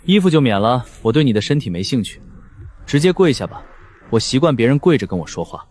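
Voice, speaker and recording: An actor playing a lofty, unruffled ancient court sorcerer with formal, archaic speech, in an animated drama. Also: male voice, mid-range voice, fast talker. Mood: cool, imperious. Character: haughty ancient male court sorcerer stranded in modern times. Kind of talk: dramatic monologue and dialogue for animated story.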